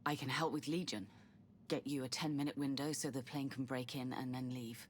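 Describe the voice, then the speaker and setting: An actress playing a weary, earnest middle-aged scientist in a video game. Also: female voice, very deep voice, fairly even intonation, fairly quick, slight background noise. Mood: resigned, earnest. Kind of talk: video game cutscene acting.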